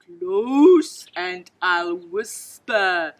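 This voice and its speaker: A woman voicing a sly, menacing male crocodile with a low, drawn-out storybook voice. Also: expressive.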